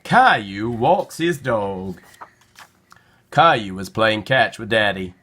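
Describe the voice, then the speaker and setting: A man narrating a children's storybook in an expressive read-aloud style. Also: on the low side, slow.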